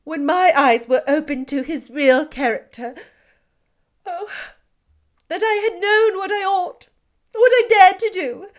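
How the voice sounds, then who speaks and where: A woman's voice: very high-pitched, rapid-fire, pauses often, fairly bright tone, voice pushed up. Woman voicing a distraught, tearful young woman. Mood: agitated, despairing. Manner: agitated, breathless, pleading. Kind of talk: audiobook character reading.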